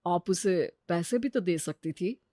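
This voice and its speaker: A woman's voice: fairly low pitch, animated, unhurried, warm tone, no room sound, clean studio sound. A woman voicing a friendly, earnest young man in an audio story. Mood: impressed, friendly.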